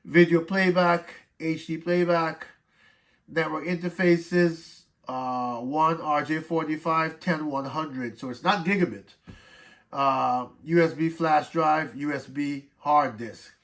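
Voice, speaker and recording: A man's voice: fairly high pitch, steady tone, takes it slowly, a bit bright. Stuffy-nosed man unboxing tech gear. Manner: reads specs off the box, then explains in loose asides. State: stuffed up from a cold. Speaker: tech reviewer. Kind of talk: casual unboxing walkthrough.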